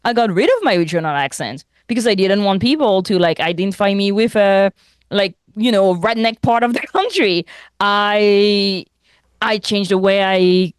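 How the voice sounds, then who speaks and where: Animated French-native woman, dry mic. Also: medium pitch, moderate pace, smooth, no echo. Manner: animated, laughs often, many restarts. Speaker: podcast co-host. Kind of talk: casual chat.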